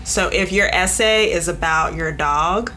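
A woman's voice: on the low side, unhurried, pushed and bright, slight background noise. A woman speaking frankly and emphatically as an editor being interviewed, joking and acting out examples as she explains. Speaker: magazine editor, podcast guest. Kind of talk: animated panel interview talk.

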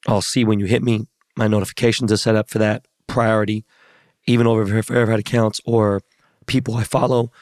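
A hoarse man hosting a podcast in casual chat, talking in slangy, profane, hyped-up street style. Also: mid-range voice, darkish tone, no echo, clean studio sound. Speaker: podcast host.

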